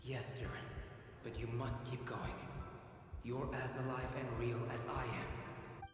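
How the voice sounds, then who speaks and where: Man playing a measured, articulate young scholar. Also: mid-range voice, level tone, a crowd in the background, natural voice. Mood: earnest, urging. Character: young male scholar, calm, analytical, earnest creator. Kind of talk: video game voice acting. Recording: light music behind the voice.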